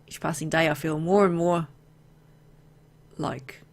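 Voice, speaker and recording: Low, creaky-voiced woman narrating a game. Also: steady tone, unhurried. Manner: reacts playfully to the story with exclamations and asides. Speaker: video game let's player. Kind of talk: reading game narration aloud with casual asides.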